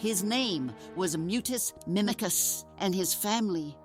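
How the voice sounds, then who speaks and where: Slow, solemn woman acting a wise carnival ringmaster. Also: mid-range voice, animated, over music, close to an everyday voice. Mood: grave storytelling. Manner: grave, deliberate, formal storytelling. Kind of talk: audio drama acting.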